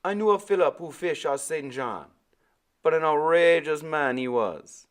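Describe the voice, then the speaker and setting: A man plays an old sailor from a storybook, pitched well above his narrating voice. He is stubborn and quietly firm, with slow, drawn-out folksy phrases. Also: expressive. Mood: amused. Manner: slow, folksy dialect speech. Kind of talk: storybook character voice in a read-aloud.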